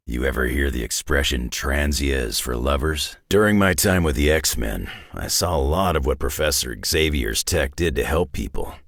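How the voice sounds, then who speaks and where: A grizzled old superhero brawler for a video game, with an extremely deep voice and a husky North American growl. He is a sardonic loner who talks in clipped, dry lines, and his pitch swings widely. The sound is close and dry. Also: fairly bright tone, no room sound, exaggerated voice. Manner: dry, sardonic, clipped tough-guy delivery.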